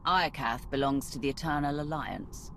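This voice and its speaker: A woman's voice: sits low, moderate pace, fairly bright tone, a little hiss or hum. An actress playing a ruthless, imperious woman commander in a video game, speaking with cold, commanding menace. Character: ruthless, imperious female Sith commander of a fleet. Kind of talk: video game cutscene acting.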